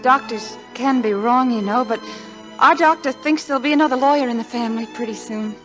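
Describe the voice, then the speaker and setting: A woman's voice: a little high, a little fast, a bit bright, lightly acted. A woman with an American accent playing a sweet, devoted young wife and polite hostess in a 1940s radio drama. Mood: tender. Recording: vintage 1940s radio broadcast, faint music.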